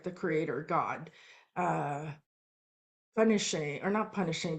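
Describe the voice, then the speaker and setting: Low-voiced woman from the Philippines teaching. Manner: relaxed, with frequent ums and restarts. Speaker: spiritual course teacher. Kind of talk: unscripted teaching talk.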